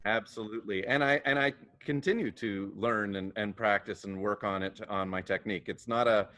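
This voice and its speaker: Singer and vocal coach, low male voice, big and booming, quick to laugh. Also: steady tone, bright, forceful tone, no echo. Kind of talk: casual interview answers.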